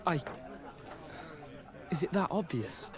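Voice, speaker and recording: A jittery young soldier in a game, kinda high voice, stumbles over words, eager to please. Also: male voice, big pitch swings, slow pace, crowd noise. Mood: nervous, deferential. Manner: hesitant, stammering, deferential. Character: nervous young male recruit soldier, deferential and eager. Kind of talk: video game cutscene acting.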